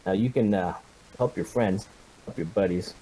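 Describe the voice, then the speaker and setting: A man playing a stern commanding officer in a tabletop game, measured and persuasive as he questions a prisoner. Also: sits low, dark tone, rough recording.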